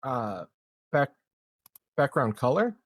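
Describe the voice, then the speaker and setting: A man playing a tabletop game, chatting casually in hesitant, halting phrases. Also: mid-range voice, very animated, dry recording.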